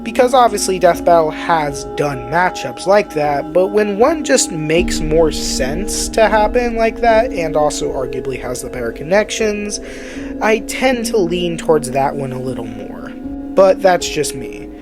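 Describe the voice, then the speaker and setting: A video essay narrator talks through scripted commentary in an explanatory, opinionated manner, often softening points as personal opinion. The voice sits at a middling pitch, with music clearly playing underneath. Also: animated, a little slow.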